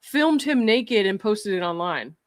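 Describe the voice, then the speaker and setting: A woman's voice: medium pitch, animated, a little slow, no room sound, clean studio sound. A woman hosting a casual livestream, reading out viewer messages and thanking donors. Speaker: livestream host.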